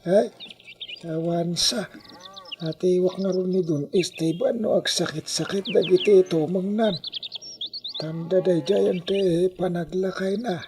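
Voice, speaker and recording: A man's voice: sits high, fairly even intonation, unhurried, a little mellow, slight background noise. An actor playing a good-humored village grandfather of about ninety in an Ilocano comedy radio drama, drawing out his words with sighs. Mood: weary, amused.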